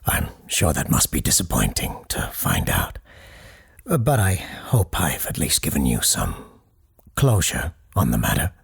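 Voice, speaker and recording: A man playing a solemn parapsychologist who hosts a late-night call-in show in an audio drama, speaking formally and deliberately in a husky, gravelly voice. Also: a bass voice, huge pitch swings, exaggerated voice. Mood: gentle, regretful. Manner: formal, deliberate, halting pauses. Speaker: radio call-in host. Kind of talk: scripted audio drama, deliberate radio monologue.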